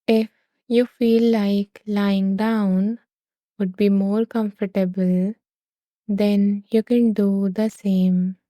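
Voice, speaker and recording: A woman leading a guided meditation in a slow, soothing way, with long gentle pauses. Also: mid-range voice, level tone, no room sound.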